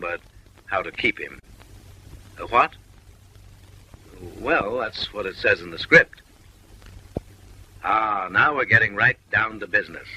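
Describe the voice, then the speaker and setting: A male narrator delivers a comic film voice-over in a mock-refined, lecturing tone, slipping in sly, suggestive asides. His voice sits a little low but has a hard, piercing brightness that cuts through. The delivery stays wry and knowing throughout. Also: slight background noise, rough recording.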